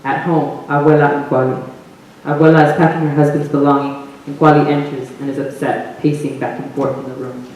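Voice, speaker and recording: A man's voice: a little high, level tone, a little fast, a little mellow. A man reading stage directions as the narrator of a staged play reading. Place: theater stage, recorded from the audience. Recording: echoey hall from far off, faint noise.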